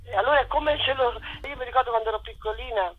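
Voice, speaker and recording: A woman interviewed on radio as a theatre director, talking effusively in a halting, digressive storytelling way. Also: on the high side. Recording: dull, noisy radio phone line.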